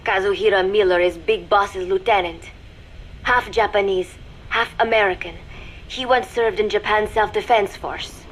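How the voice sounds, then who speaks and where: An actress playing a cool, watchful young female spy in a video game, dictating a secret log in a measured, formal, deliberate way. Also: medium pitch, steady tone, slight background noise, close to an everyday voice, poor audio. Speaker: spy recording an intelligence log. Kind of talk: scripted video game voice acting, dramatic monologue.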